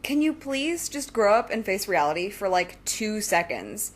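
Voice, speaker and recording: A woman's voice: mid-range voice, big pitch swings, unhurried. A young woman playing a driven, defiant student supervillain and inventor in an audio drama, speaking with insistent earnestness. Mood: defensive, frustrated.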